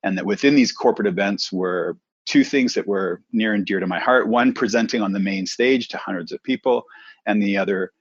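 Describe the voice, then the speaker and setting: A middle-aged man from Canada, a presenter coach telling his career story in a relaxed, thoughtful interview. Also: fairly low pitch, brisk, husky, no room sound, dull audio.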